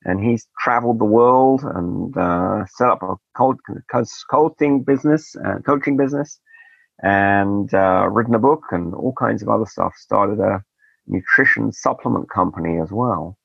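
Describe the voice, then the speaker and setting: Gravelly man hosting a podcast. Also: on the low side, normal speed, dry recording. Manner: chatty, digressive, wry asides. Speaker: podcast host. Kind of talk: casual interview chat. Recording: dull lo-fi dry sound.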